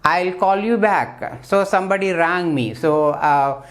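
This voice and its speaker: A woman from India teaching English grammar at a classroom board, speaking slowly and deliberately, repeating each example sentence with frequent fillers. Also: on the low side. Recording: roomy echo, distant from mic.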